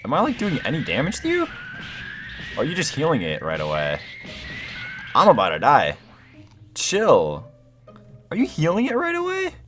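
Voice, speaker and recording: A young man giving excited, casual gameplay commentary, rambling and thinking aloud in slangy exclamations. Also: a little high, moderate pace, long pauses, faint music behind. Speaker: gaming video host.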